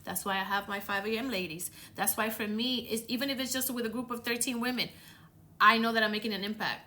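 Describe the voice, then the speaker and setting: A woman's voice: on the high side, fairly even intonation, fast talker, bright, forceful tone, a little hiss or hum. A woman from the Dominican Republic with a Dominican accent, hosting a motivational podcast and coaching listeners earnestly, often repeating words for emphasis. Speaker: podcast host.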